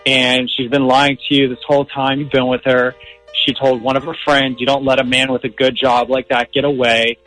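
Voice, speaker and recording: A young man calls in to a radio show and tells his story casually and earnestly. His pitch is medium, and faint music runs behind his voice. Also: little pitch movement, moderate pace, a bit bright, low-quality recording.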